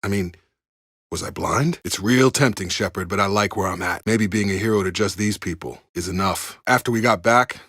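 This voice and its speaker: A man's voice: on the low side, normal speed, fairly bright tone, husky, no echo, clean studio sound. An actor playing an earnest, loyal male soldier in a video game, speaking plainly and sincerely as someone who protects his people. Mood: serious, reflective. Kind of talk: dramatic game dialogue voice lines. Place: dry studio recording.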